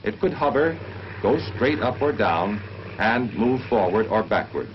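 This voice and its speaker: An American man narrating a mid-20th-century military training film in a formal, measured, instructional manner, on an old film soundtrack. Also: lowish voice, animated, dull audio, slight background noise.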